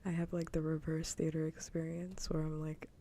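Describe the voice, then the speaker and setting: A woman speaks in a low, soft and breathy whisper, very close to the microphone as on an ASMR stream. Her tone is dark and mellow, and her chat is casual and frankly opinionated. A faint low rumble sits behind the voice. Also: level tone, moderate pace. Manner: casual, opinionated, chatty with dry humour.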